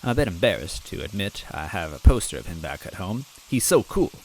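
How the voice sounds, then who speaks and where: A man reading a first-person story aloud in a soft, wistful, gently comic way. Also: low voice, big pitch swings, fairly quick, gravelly, slight background noise, low-quality recording.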